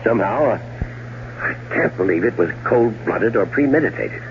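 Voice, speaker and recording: A deep-voiced, outwardly grieving widower played by a male actor in a 1970s radio drama. He speaks with measured, halting politeness, smooth but evasive and calculating. The old broadcast is muffled, with noticeable hum. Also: expressive, lightly acted. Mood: insistent, hesitant.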